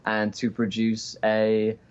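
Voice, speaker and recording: A man with a British accent, a guest speaking haltingly in a casual interview over a remote call. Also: on the low side, monotone, smooth. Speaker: guest, tabletop show producer. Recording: dull remote call audio.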